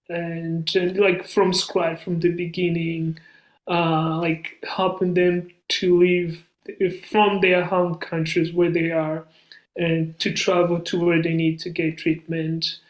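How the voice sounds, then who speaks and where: A man from Burundi, a guest on an interview podcast, telling his story in an earnest, storytelling way. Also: sits high. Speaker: guest, nonprofit founder. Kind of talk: casual interview talk. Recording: roomy echo.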